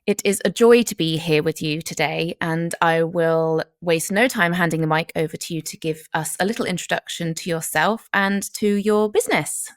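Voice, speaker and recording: A woman hosting a podcast interview, asking measured, friendly questions. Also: on the low side, dry recording, studio quality. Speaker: podcast host.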